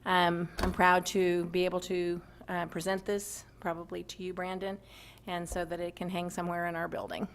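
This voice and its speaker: A woman's voice: fairly low pitch, level tone, moderate pace. A woman giving informal, chatty remarks at a school board meeting, with many ums and restarts. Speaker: community nonprofit representative. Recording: echoey room, distant mic, noisy.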